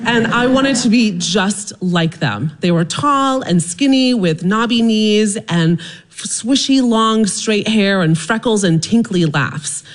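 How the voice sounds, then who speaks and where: A middle-aged woman tells a personal story live on stage in front of an audience. Her delivery is animated and humorous, with plenty of self-deprecation. She comes across as a storyteller working the crowd. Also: medium pitch. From Maryland, USA.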